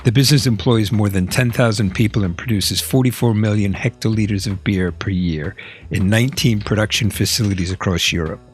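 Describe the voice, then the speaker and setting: A man hosting a podcast, reading a prepared introduction and asking a guest questions in a clear, prepared way. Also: fairly low pitch, fairly quick, soft background music. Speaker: podcast host. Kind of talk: scripted intro and interview questions.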